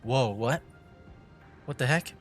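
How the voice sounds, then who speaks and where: A man's voice: medium pitch, takes it slowly. Casual male game streamer over music. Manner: informal, reactive. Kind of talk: casual livestream game commentary.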